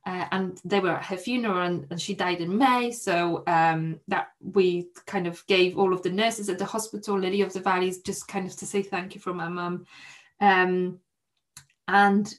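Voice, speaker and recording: A young Polish woman, a podcast guest, telling a personal story in a soft, hesitant way with many restarts. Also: fairly low pitch, steady tone, normal speed. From Poland.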